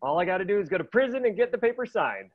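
A man's voice: sits high, rapid-fire, highs cut off. Eager man reading a comic role. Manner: eager, excitable comic delivery. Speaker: actor reading a supporting role. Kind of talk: table read acting.

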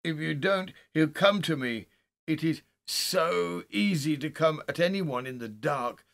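A man's voice: on the high side, measured pace, gravelly, a bit bright, pitched above the performer's own voice. A man reading a horror story as a terrified, shivering man who pleads urgently and repeats himself. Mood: panicked, pleading.

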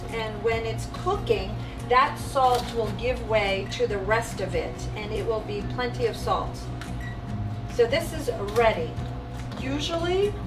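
A woman in her forties, a restaurant chef, chattily teaching a home recipe step by step in a restaurant kitchen, recorded on a camera mic some distance away. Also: a little high. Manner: chatty and instructive, walking through steps. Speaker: restaurant chef and cooking host. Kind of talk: casual cooking demonstration. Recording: echoey kitchen, voice from off-mic, music behind.

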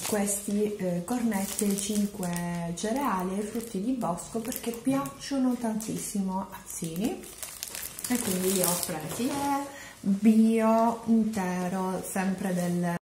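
A woman in her thirties talks straight into a close camera in a small room at home, taking an unhurried pace and filling her pauses with ums and ehs. The room gives her voice a noticeable echo, and a light hiss of noise sits underneath. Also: mid-range voice, dark tone. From Palermo, Sicily. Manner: chatty and explanatory, with frequent fillers. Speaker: vlogger. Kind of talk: grocery haul chat to camera. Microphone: camera at close range.

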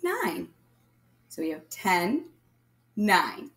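Female primary teacher, lower-pitched, very unhurried delivery, read-aloud counting lesson for little kids, leaves gaps for answers. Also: very animated, fairly bright tone. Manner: instructional, addressing young children, pausing for answers. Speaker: primary school math teacher.

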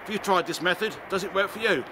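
A man's voice: sits high, quick pace, noisy background. A middle-aged man with a British accent giving a casual hands-on demonstration, recorded outdoors in a garden. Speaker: amateur radio video presenter.

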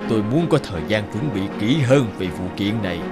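A man voicing a stern, principled lawyer in a cartoon, speaking in a formal, firm and measured way. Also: on the low side, animated, natural voice. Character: stern, principled male lawyer refusing dishonest clients. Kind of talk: dubbed cartoon dialogue. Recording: music under the voice.

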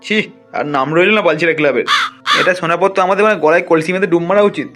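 Male actor as brash village boss, highish voice, quick, blustering, colloquial, comic cartoon. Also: a bit bright, faint music behind, close to an everyday voice. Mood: scolding. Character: brash, foul-mouthed village gang leader, comic elder brother.

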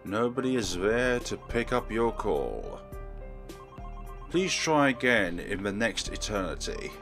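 A man's voice: lowish voice, big pitch swings, slow pace. A man hosting a let's play, reading game text aloud and commentating with wry, theatrical asides. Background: game music.

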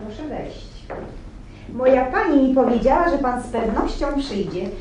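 An actress playing a reserved, deferential household servant in a radio play, matter-of-fact in manner. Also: female voice, high voice, animated, moderate pace, darkish tone. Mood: polite, flat. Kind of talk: Polish radio play acting. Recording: roomy, distant, faint background noise.

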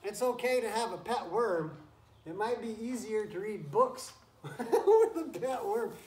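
A man's voice: high voice, big pitch swings, a little slow. A man reading a picture book aloud to children like a teacher, chatting encouragingly and breaking off for asides to the animals around him. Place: wooden barn pen with straw, among goats and chickens. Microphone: camera a few feet away. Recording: roomy, noisy barn, distant camera mic.

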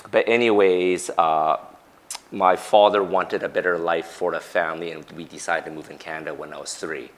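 Deep-voiced man from Hong Kong, easygoing. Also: fairly even intonation, normal speed. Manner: easygoing, explanatory. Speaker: company CEO, guest. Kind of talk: interview answers. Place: TV studio set. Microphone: lapel mic.

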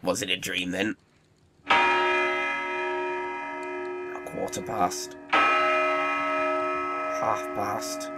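A man playing a grumpy, uneasy old miser in an audio play. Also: on the low side. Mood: grumpy, nervous. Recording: echoey, reverberant, noisy audio play mix.

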